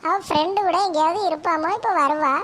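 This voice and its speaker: An actor playing a mischievous schoolboy grandson, making excuses and muttering asides. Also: male voice, very high-pitched, brisk, very noisy. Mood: cheeky.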